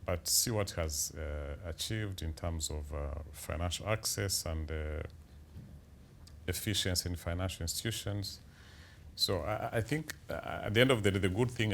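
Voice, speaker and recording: Very deep-voiced hesitant male panelist. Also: a bit bright. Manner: halting, with frequent repeated words and fillers. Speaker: central bank governor. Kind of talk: panel discussion. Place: conference hall panel.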